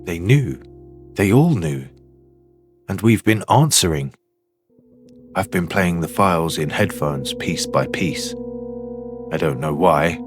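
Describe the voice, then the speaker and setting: A man playing a haunted signal technician, telling a horror story in the first person with deliberate, broken phrases. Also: low voice, lively intonation, music in the background. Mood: haunted, uneasy.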